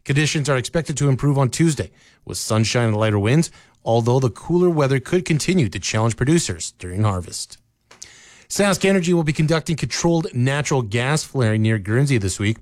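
A man reading scripted local news in a steady newsreader manner, from a radio studio. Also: medium pitch, brisk, no echo. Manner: steady, even newsreader delivery. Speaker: radio newscaster.

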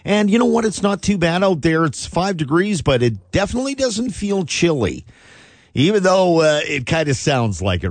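A man's voice: a little high, no echo. A man hosting a morning radio show, chatty and upbeat, his voice a little scratchy today. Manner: upbeat, chatty, rambling with fillers. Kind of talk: casual radio chat. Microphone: studio microphone.

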